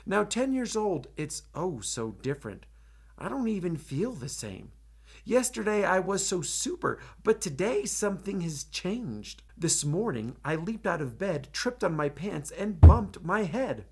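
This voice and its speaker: A man's voice: sits high, very animated, measured pace, husky, pitched above the performer's own voice, exaggerated voice. A man voicing a ten-year-old former superhero boy, wistful but determined, in a rhyming picture-book read-aloud. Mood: puzzled, dejected.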